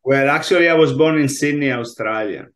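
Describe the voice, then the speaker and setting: A man's voice: medium pitch, a little fast, a bit bright. A man in his forties with an Italian accent, chatting as a guest chef over a video call from home. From Italy. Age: 40s. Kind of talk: casual interview chat. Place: home room, indoors. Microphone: video call, laptop mic.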